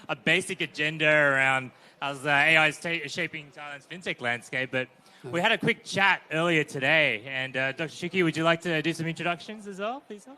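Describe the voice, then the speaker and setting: Man, panel moderator, casual, upbeat, bright tense voice. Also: fairly high pitch. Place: conference stage.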